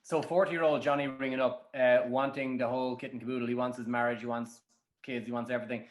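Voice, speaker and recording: A man's voice: mid-range voice, flat delivery, fast, reverberant, a little hiss or hum. An Irish man talking bluntly and frankly as a guest on a casual podcast, rambling with many restarts. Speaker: matchmaker guest.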